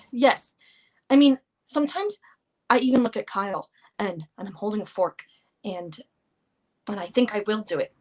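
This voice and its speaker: A woman's voice: mid-range voice, expressive, moderate pace, pauses often, darkish tone, lightly acted. A woman playing an edgy, anxious daughter in a live play reading, speaking in a hesitant, earnest ramble. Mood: anxious, confessing. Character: anxious, edgy daughter confessing violent urges.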